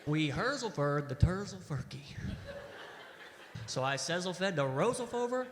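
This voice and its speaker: Eager, hesitant Canadian yarn-spinner male actor, comedy stage sketch, high voice, big reverberant room. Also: sing-song, a little slow, slight background noise. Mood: eager, animated. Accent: exaggerated Canadian. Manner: hesitant, folksy, ends phrases with "eh". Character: folksy Canadian storyteller man, rambling and eager. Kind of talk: live comedy sketch storytelling. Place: large hall, live stage show. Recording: echoey hall, live stage sound.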